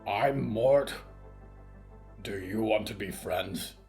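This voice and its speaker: Male narrator doing a friendly clawed creature, eager, meek, unhurried, audio fiction. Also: on the low side, a little mellow, soft background music.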